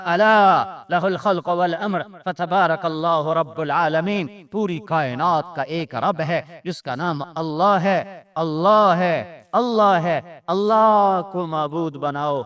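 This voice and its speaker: High-pitched Pakistani male preacher, clear voice. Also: a bit bright. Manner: emphatic, repeating phrases for effect. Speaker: Islamic preacher. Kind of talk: impassioned Urdu religious sermon with Arabic recitation.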